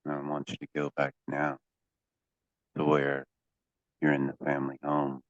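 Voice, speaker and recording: Very deep-voiced male hypnosis coach. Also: completely flat delivery. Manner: deliberate, commanding, with drawn-out pauses. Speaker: hypnotherapy coach leading a live session. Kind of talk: guided hypnosis, prompting phrases to be repeated. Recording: dull, echoey lo-fi room sound.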